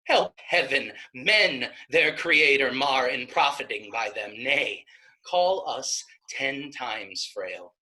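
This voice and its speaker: Slow, intense devout young woman played by a man. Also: medium pitch, animated, pushed and bright, exaggerated voice. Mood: impassioned. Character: devout, steadfast young woman pleading for her condemned brother. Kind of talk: Shakespearean staged reading.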